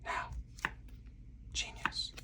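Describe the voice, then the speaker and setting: A man whispering a board game play-through in slow, soothing ASMR style, with breathy, deliberate step-by-step narration. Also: recorded from a distance, noisy background. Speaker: solo board game player and channel host.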